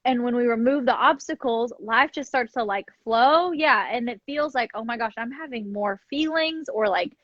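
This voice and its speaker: A woman's voice: a little high, normal speed, few pauses, fairly bright tone. A woman talking casually and reflectively as a podcast co-host, often checking in with "like" and "right?". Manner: tentative phrasing with frequent "like" and "right?" check-ins. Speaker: podcast co-host discussing self-study. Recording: muffled lo-fi sound, roomy echo.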